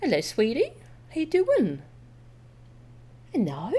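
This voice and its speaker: A woman's voice: a little high, huge pitch swings, takes it slowly, dark tone, breathy edge. A woman chatting casually to viewers as she streams a game, in an easygoing, chatty manner.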